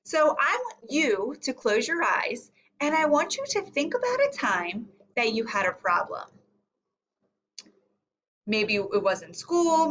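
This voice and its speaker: A woman's voice: sits high, a bit bright, lo-fi sound, a little hiss or hum. A woman teacher giving a read-aloud lesson to young children, thinking aloud and guiding them with questions. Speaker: elementary reading teacher.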